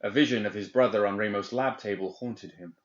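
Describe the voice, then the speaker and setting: A man's voice: lowish voice, a little fast. A man reading a story aloud as scripted audiobook narration. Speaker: audiobook reader. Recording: slightly dull, band-limited recording.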